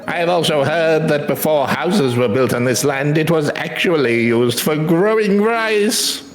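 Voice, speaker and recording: A man's voice: on the high side, lively intonation, unhurried. Humble, earnest farmer , played by a man, game dialogue, formal and courteous, echoing room, light music. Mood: hopeful, thoughtful. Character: earnest, humble adult rice farmer, polite and enthusiastic. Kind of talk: scripted game dialogue read aloud. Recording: roomy echo, music behind.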